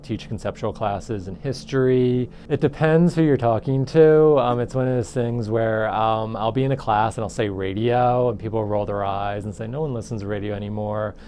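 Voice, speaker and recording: A man's voice: lowish voice, moderate pace, hardly any pauses, mellow, slight background noise. A man who teaches, explaining things informally in a documentary interview. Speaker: audio production teacher.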